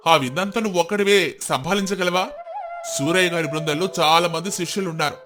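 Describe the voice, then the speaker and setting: A generous, lordly village landlord, voiced by a man speaks with kindly authority. His storybook voice sits fairly high, over light music. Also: a little fast, natural voice. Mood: placating, firm.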